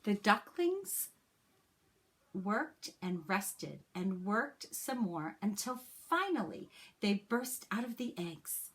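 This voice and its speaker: Lady teacher doing storytime for little kids, takes it slow, medium pitch, expressive. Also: slightly rough. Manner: expressive, deliberate phrasing for young listeners. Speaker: teacher reading a picture book aloud. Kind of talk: children's storybook read-aloud with personal asides.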